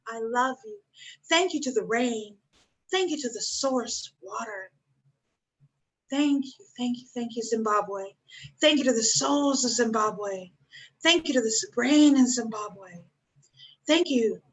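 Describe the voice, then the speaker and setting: A woman's voice: fairly high pitch, animated, slow pace, long pauses, breathy edge, poor audio. A woman in her fifties, talking earnestly in a spiritual chat and repeating her thanks. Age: 50s.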